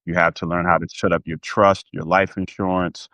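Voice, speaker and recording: An American man with a low-pitched voice talks as an interview guest. He is conversational and emphatic, slipping into quoted speech to drive points home. The sound is close and dry. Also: level tone, normal speed, sharp tone, dry recording. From United States. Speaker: interview guest, former pro athlete. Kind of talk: animated personal conversation.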